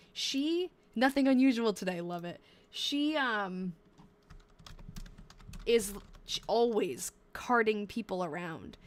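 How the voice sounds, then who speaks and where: A young woman in her twenties talks loosely on a live stream, her nose stuffed up and her voice wearing thin. She reads terse old diary lines aloud, then drifts into chatty asides and often breaks off mid-sentence. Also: mid-range voice. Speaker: livestream host reading old diaries. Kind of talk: casual live-stream chat while reading diary entries aloud.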